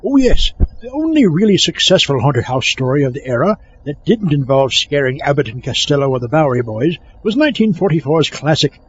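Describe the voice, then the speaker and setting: A man's voice: on the high side, dull audio, slight background noise, natural voice. A man playing a pompous, easily exasperated horror film scholar, lecturing in a comic scripted monologue.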